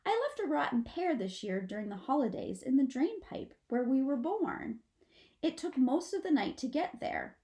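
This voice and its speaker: A woman's voice: sits high, animated, normal speed, dark tone, pitched above the performer's own voice. A woman voicing a mother possum in a storybook, a firm but wistful parent with a stagey, instructing manner.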